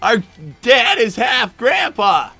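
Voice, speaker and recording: Guy doing a squeaky flustered boy fox, raspy n creaky, defensive, stammers a lot, cartoon style. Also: sing-song, slow pace, bright, forceful tone, no room sound, faint music behind, exaggerated voice. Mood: indignant. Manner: stammering, exasperated. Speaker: live-stream host in character. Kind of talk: improvised in-character banter.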